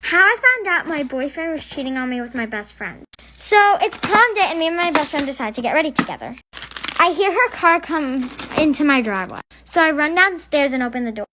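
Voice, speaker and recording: A woman's voice: high voice, lively intonation, fairly quick, bright, forceful tone, slight background noise. A young woman narrating a first-person storytime.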